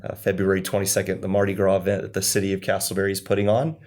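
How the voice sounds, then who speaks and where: A man's voice: low voice, monotone, very fast, darkish tone. A man co-hosting a local community podcast, upbeat and promotional, with fillers and restarts. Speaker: podcast co-host.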